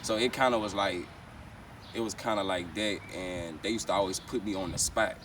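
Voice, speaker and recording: Very low-voiced American man, slangy and casual. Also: fairly even intonation, normal speed, fairly bright tone. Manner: slangy, repeats verbal tags like "you know what I'm saying". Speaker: rapper being interviewed. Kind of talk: casual interview chat. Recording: noisy, distant mic, outdoor street ambience.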